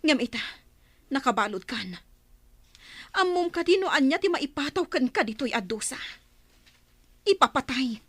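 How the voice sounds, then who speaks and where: Lady playing strict young chief's daughter, filipino accent, high sharp voice, dry sound, radio drama. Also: sing-song, normal speed, pauses often, no room sound. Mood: stern. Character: stern, principled chieftain's daughter, young tribeswoman.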